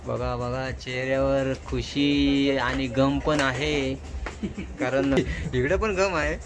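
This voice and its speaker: A man's voice: medium pitch, steady tone, takes it slowly, music in the background. A man giving casual, informal vlog commentary, mixing in bits of English and Hindi. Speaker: vlogger host.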